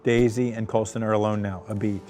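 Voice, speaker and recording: A man's voice: fairly low pitch, level tone, fairly quick. A man narrating script directions aloud at a table read. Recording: loud music under the voice. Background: music.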